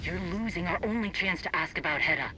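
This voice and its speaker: An actor playing a brash, distrustful warrior leader in a video game, blunt, scornful and impatient. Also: male voice, high voice, expressive, fast talker, background noise. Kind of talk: dramatic video game acting.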